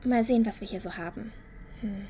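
A woman's voice: medium pitch, a little fast, warm tone, a little hiss or hum, close to an everyday voice. A woman playing a curious, adventurous young village girl in a fantasy audio drama, often muttering to herself. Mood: curious, musing.

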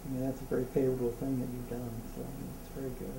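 A man in the audience of a book discussion, talking in halting phrases with many restarts. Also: mid-range voice, monotone. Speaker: audience member. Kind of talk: casual discussion. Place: community meeting room. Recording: echoey room from a distance, faint noise.

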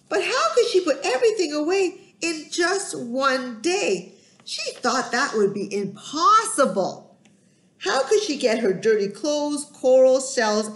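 A middle-aged woman telling a children's story in an expressive, emphatic, sing-song way, recorded in a room at home. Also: high voice. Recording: roomy, echoey home recording.

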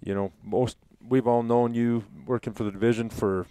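A man's voice: medium pitch, fairly even intonation, moderate pace, mellow, clear, resonant tone, dry recording. A middle-aged man co-hosting a casual podcast discussion, speaking into a headset mic. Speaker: podcast co-host. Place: small wood-panelled room, around a table.

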